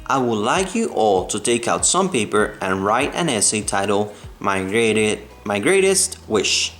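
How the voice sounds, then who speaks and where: A man voicing a kindly female schoolteacher in a children's storybook, deliberate and instructive. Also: lowish voice, sing-song, fairly bright tone, soft background music. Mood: encouraging. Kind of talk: children's storybook read-aloud.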